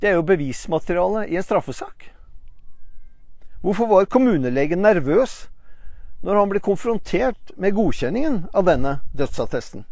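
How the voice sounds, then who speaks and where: An older man speaks to camera from a rocky mountaintop through a lapel mic. His voice sits a little high, and he asks pointed questions earnestly, with hesitant fillers. The sound is dry and close, with no echo. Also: darkish tone. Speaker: channel host. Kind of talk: reading a letter, then commentary to camera.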